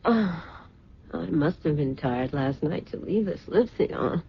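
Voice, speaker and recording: An actress playing a vain, fretful socialite wife in a theatrical, genteel old-time radio drama style. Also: female voice, low-pitched, lively intonation, a little slow, breathy edge, full character voice. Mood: languid, weary. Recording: muffled, noisy old radio recording.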